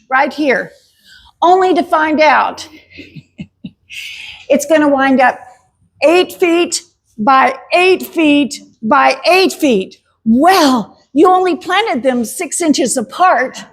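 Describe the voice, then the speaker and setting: A woman presents a gardening talk in a chatty, emphatic way. She speaks slowly and unhurriedly, her voice sitting a little high, and laughs often between points. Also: breathy edge.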